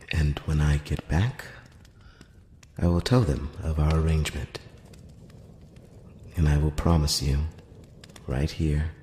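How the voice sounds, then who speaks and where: Guy doing a polite curious elf prince, asmr fantasy rp, slow soft halting talk up close, big reverby room with some hiss. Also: extremely low pitch. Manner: soft-spoken, hesitant, formal and courtly. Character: courteous, curious male elf prince and secret emissary. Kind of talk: intimate ASMR fantasy roleplay with whispering. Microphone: close-up microphone. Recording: roomy long reverb, background hiss.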